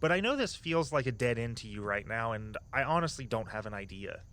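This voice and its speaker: A man acting as an easygoing young tour guide in an audio drama. He sounds like a loyal friend, chatty and gently earnest, with a medium-pitched voice. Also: big pitch swings, brisk. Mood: upbeat, supportive. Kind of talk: naturalistic audio drama dialogue.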